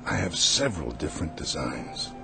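An actor playing a mysterious, calm old peddler in a radio drama, speaking in gentle, cryptic phrases. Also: male voice, rumbling low, moderate pace, creaky, heavily acted. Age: older. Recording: radio play with music underneath.

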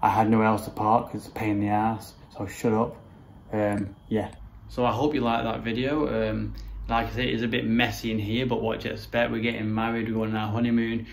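A man's voice: lowish voice, level tone, fairly quick, gravelly. A man in his thirties giving an informal, matter-of-fact, rambling walk-through vlog narration on a handheld camera indoors. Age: 30s. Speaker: home renovation vlogger. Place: rooms of a house, indoors. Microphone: handheld camera or phone at arm's length. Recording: noticeable background noise, handheld camera indoors.

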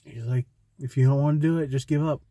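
A young man talking through a personal vlog from a parked car, slow, halting and heartfelt, with frequent restarts. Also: medium pitch, steady tone, dark tone, dry recording. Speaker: vlogger. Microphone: phone camera close in front.

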